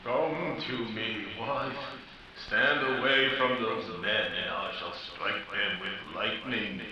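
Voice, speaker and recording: A man's voice: on the low side, steady tone, takes it slowly, pushed and bright, full character voice. A man voicing a threatening ghost of a dead man, ominous and theatrical, in 1940s radio melodrama. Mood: summoning. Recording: echoey, distant, very noisy, muffled.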